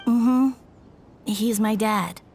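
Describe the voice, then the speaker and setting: A woman voicing a shy, polite little boy in an animated video game, sensitive and hesitant in the way of speaking. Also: a little high, extremely slow, a little hiss or hum. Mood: subdued. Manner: hesitant, soft-spoken stammering.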